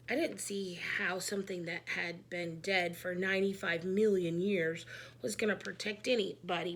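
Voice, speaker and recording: Bright-voiced woman reading a children's story. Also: medium pitch, creaky. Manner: animated, emphatic. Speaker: read-aloud storyteller. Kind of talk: expressive children's book narration as a schoolboy narrator.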